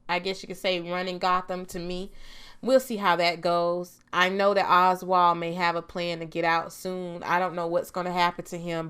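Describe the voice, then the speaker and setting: A woman reviewing a TV episode in a casual, chatty vlog, her voice a bit raspy as she gets over a cold, laughing often. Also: fairly low pitch, a bit bright. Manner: chatty, laughs often, trails off with "I'm just saying". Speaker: TV review vlogger.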